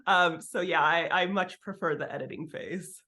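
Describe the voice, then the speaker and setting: A woman's voice: lowish voice, moderate pace, pushed and bright. A woman chatting informally as an interview guest, giving writing advice in a candid way with the occasional swear word. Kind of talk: casual interview chat.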